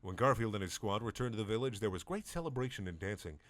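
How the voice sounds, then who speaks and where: A man giving a deadpan, mock-serious comedic reading of fan fiction, with wry asides. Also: lowish voice, fast talker, dry recording. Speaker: dramatic reader of fan fiction.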